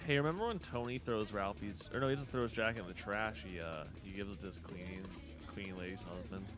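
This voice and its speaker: A man's voice: on the low side, fast. A man co-hosting a live show with rambling, casual chat. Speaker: co-host. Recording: music behind the voice.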